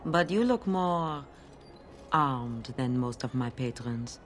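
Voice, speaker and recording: A woman's voice: rumbling low, expressive, slow, full character voice. A woman playing a genteel, coaxing perfume seller in a fantasy game. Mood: haughty, helpful. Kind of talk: fantasy video game dialogue acting.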